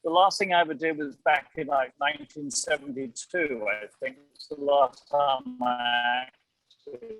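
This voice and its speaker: A man's voice: on the high side, sing-song, measured pace, fairly bright tone, poor audio. An older man from England with a British accent, chatting reflectively as an interview guest in a hedging, self-deprecating way. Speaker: amateur actor, interview guest.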